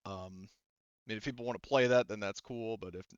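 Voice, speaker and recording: Smooth-voiced man running a tabletop game. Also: fairly low pitch, fairly even intonation, no echo, highs cut off. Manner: halting, informal, thinking aloud. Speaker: game master. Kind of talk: casual tabletop game narration.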